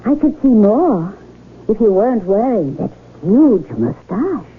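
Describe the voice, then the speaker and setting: An actress in a 1970s radio drama playing a wistful, soft-hearted young casino dealer who poses as a princess. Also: female voice, mid-range voice, big pitch swings, measured pace. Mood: gentle, poised. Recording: muffled, hissy 1970s radio broadcast recording.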